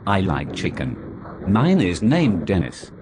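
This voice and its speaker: A man delivering an absurd scripted monologue in a deadpan manner. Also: sits low, slow. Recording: noisy recording.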